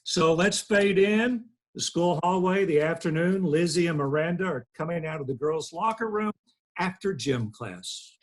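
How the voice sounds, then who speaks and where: A man narrates a script at a table read over a video call, reading out scene directions. His voice is husky and sits a bit high for a man. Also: expressive, normal speed. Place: indoors on a group video call.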